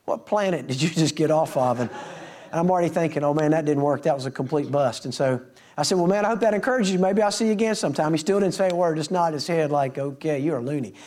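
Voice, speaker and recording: A man's voice: fairly high pitch, quick pace, a little mellow. A middle-aged man, a preacher telling folksy stories to his congregation and acting out the dialogue. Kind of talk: storytelling sermon to a congregation.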